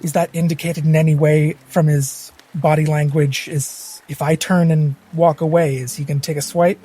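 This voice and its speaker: A man's voice: on the high side, little pitch movement, moderate pace, dark tone, slightly rough. A man playing in a tabletop roleplaying game, talking casually and narrating what his character does.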